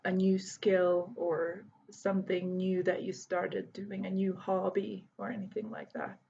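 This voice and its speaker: A woman in her thirties from Hungary, introducing herself slowly and carefully to students, with many pauses and fillers. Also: medium pitch, flat delivery, vocal fry, warm tone, highs cut off, a little hiss or hum. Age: 30s. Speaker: volunteer English teacher. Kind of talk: recorded self-introduction to students.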